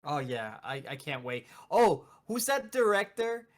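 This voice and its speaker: Guy cohosting a podcast, excitable, just chatting, says stuff twice for emphasis. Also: on the high side, unhurried. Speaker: podcast co-host. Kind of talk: casual chat about video game movies.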